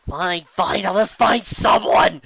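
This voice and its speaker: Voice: medium pitch, takes it slowly, gravelly, a bit bright, slightly rough, dry recording, muffled recording. A theatrical, exasperated host giving a comic dramatic reading of fan fiction, with asides.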